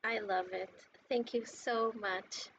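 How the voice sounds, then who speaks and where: A woman giving casual, heartfelt game commentary in a gushing, sentimental and halting way. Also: mid-range voice, fairly even intonation, vocal fry, a bit bright. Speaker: gaming content creator. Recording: muffled lo-fi mic with noticeable background noise.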